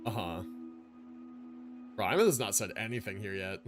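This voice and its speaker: A man livestreaming a game with casual, slangy commentary, musing out loud and swearing now and then. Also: lowish voice, expressive, normal speed, over music.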